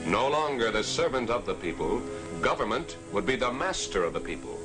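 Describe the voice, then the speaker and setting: Earnest 1940s film narrator, man, lively pitch. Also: brisk. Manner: earnest, declamatory. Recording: old film soundtrack with music.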